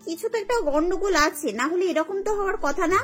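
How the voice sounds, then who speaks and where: Woman as a cartoon lady doctor, high-pitched, earnest, explanatory, faint music underneath. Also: a little fast, fairly bright tone. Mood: serious, explaining. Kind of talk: cartoon voice acting.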